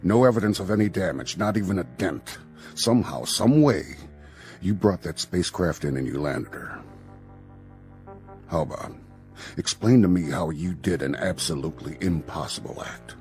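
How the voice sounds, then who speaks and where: Low-voiced, stern military general. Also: male voice, lively intonation, darkish tone, faint music behind. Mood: pressing, probing. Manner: deliberate, formal, official. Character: stern, measured male Air Force general in authority. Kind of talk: radio drama acting.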